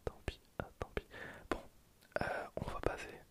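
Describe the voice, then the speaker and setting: A man whispering casual, breathy ASMR commentary over gameplay in an informal way. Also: measured pace. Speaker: gaming video creator.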